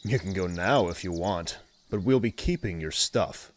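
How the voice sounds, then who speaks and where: A man playing a gruff, suspicious wasteland security guard in an audio drama, curt and commanding. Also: low voice, lively intonation, moderate pace, a little hiss or hum. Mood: stern, suspicious. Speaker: voice actor.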